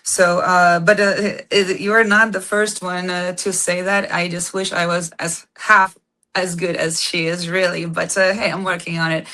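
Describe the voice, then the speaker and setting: Woman with a low voice. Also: little pitch movement, normal speed, bright, forceful tone. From Poland. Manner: frequent "uh" and "um", searching for words. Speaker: band singer, guest. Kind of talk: casual interview chat.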